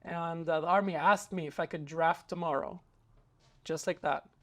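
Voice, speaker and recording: A man's voice: fairly high pitch, steady tone, moderate pace. Earnest young man telling his story. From Israel. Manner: earnest, many hesitant uh fillers and restarts. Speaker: interview guest. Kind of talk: personal storytelling interview.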